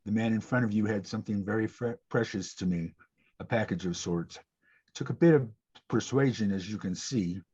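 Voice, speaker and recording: A man playing a cold, menacing villain in a table-read drama, speaking in a measured, threatening way with a husky voice. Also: lowish voice, mellow. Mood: cold menace. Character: menacing male villain giving a cold ultimatum. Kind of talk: scripted table-read acting. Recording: dull lo-fi audio.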